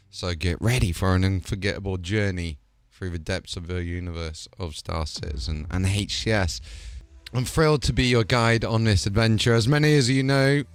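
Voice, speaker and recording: A man's voice: lowish voice, no echo. A man hosting a product showcase, hyped and rambling, with filler words. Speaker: host.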